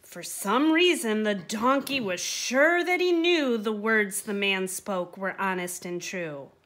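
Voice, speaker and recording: A woman reading a rhyming children's picture book aloud, with expressive, emphatic phrasing. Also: mid-range voice, slow. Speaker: storybook reader.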